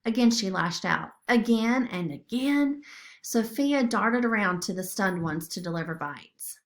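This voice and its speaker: A woman's voice: medium pitch, lively intonation, unhurried. A woman narrating a children's story expressively, as a storybook reader.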